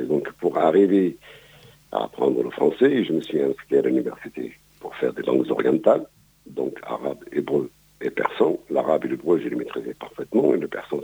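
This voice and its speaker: Older man, very deep gravelly voice, dark husky tone, hesitant with many fillers, dull radio sound. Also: big pitch swings, a little fast. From Palestine. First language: Arabic. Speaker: guest. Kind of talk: radio interview, life story.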